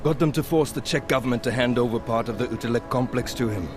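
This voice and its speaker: An actor playing a blunt, cynical veteran pilot in a video game, sardonic, cocky and colloquial. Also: male voice, medium pitch, fast, music in the background, lightly acted. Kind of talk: video game cutscene acting.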